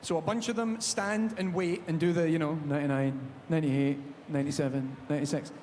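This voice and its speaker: A fairly high-voiced man with a Glasgow accent hosts a live panel chat, telling stories with jokey energy. His voice rings through a large echoey hall, with a faint hum of noise underneath. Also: brisk. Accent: Glaswegian Scottish. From Scotland. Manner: jokey, animated storytelling. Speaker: panel host. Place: large theatre stage.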